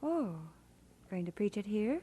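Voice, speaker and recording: An actress playing a gentle, supportive minister's wife in an audio drama, with a soft, husky voice. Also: female voice, mid-range voice, sing-song, unhurried. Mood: gentle, encouraging.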